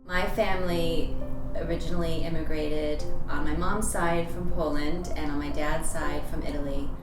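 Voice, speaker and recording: Low-voiced woman, echoey and off-mic. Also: fairly even intonation, far from the mic. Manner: reflective, pausing with fillers. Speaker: managing partner of a law firm. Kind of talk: interview testimonial. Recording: echoey room, off-mic, with music.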